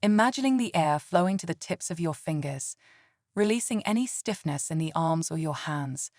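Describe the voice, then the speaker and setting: A woman leading a scripted guided meditation in a calm, soothing and gentle way. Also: low voice, moderate pace, no room sound, studio quality.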